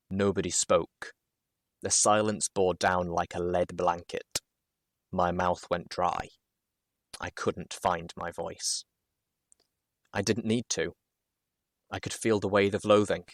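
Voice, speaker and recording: A man reading a first-person story as narrator, expressively and with dramatic pauses. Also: low-pitched, little pitch movement, no echo, clean studio sound. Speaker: narrator, show host.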